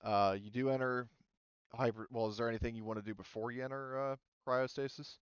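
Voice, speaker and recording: A man's voice: mid-range voice, steady tone, brisk, clear, resonant tone, no echo, lo-fi sound. A man refereeing a casual tabletop game as game master, hesitant and thinking aloud as he talks.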